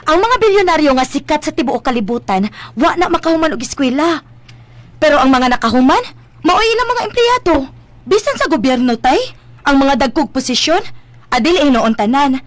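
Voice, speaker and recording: An actress with a Cebuano Filipino accent playing an ambitious, playful young daughter in a radio drama, chatty, teasing and insistent. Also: female voice, sits high, expressive, moderate pace, long pauses, no echo. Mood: earnest, confident.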